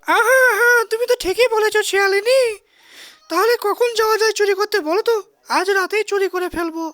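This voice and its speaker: Cartoon male fox, female performer, sly and eager, extremely high voice, dry recording. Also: fairly quick, a bit bright, no echo. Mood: scheming. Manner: exclamatory, repeats phrases.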